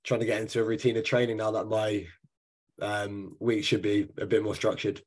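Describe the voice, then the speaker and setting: A man with a slightly croaky, husky voice, chatting casually as a podcast co-host. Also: on the low side, level tone, normal speed, a little mellow.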